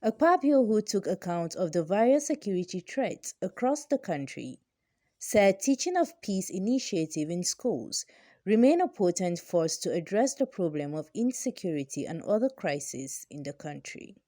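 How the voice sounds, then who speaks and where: A woman's voice: lowish voice, big pitch swings, moderate pace, dark tone, no echo, clean studio sound. A woman with a Nigerian accent reading a scripted news report as a reporter.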